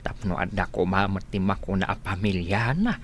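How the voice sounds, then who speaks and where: A man's voice: low-pitched, normal speed, slight background noise, natural voice. An actor playing a humble, grateful village man with an Ilocano accent, hesitant and deferential, in a radio comedy drama. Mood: flustered, earnest.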